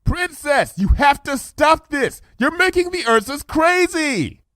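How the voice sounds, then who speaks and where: A man voicing a frantic young female unicorn who pleads and shouts desperately. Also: extremely high pitch, lively intonation, a little slow, no room sound, clean studio sound, voice pushed up, exaggerated voice.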